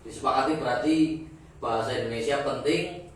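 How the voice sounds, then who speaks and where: A man's voice: mid-range voice, fairly quick. A young man moderating a casual group discussion, joking and prompting the others to speak. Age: young adult. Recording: echoey room, distant mic, faint noise.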